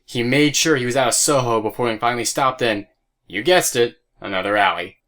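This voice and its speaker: A man's voice: medium pitch, fairly quick, fairly bright tone. A man narrating an audio drama as a scripted story. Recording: music bed behind voice.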